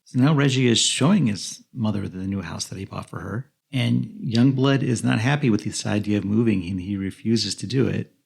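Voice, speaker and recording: A man's voice: fairly low pitch, normal speed, gravelly, a little mellow, slightly rough. A man co-hosting a podcast, narrating a recap of a film's plot. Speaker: podcast co-host.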